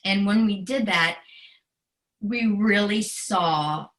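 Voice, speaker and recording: A woman's voice: medium pitch, slow, fairly bright tone. A middle-aged American woman speaking in an earnest, emotionally open, conversational way as an interview guest telling personal stories. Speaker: guest author and special education educator.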